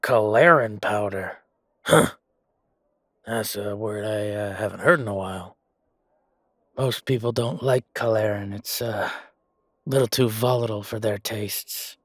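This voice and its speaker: A man's voice: fairly low pitch, measured pace, long pauses, fairly bright tone, no echo, studio quality. A man voicing a chatty, self-satisfied merchant in a fantasy audio roleplay, with a casual, drawling, hesitant way of talking. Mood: wary. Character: male merchant, chatty, self-satisfied, grumbling royal supplier.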